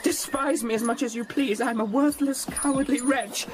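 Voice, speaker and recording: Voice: medium pitch, steady tone, moderate pace, background noise, natural voice. A performer playing a sickly, cowardly teenage boy in a period drama, whining and faltering as he pleads. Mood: desperate. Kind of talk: period radio drama acting.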